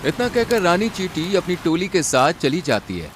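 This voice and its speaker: A man's voice: high voice, very animated, moderate pace. A man narrating a cartoon story in a dramatic scripted style. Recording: rain and outdoor noise behind.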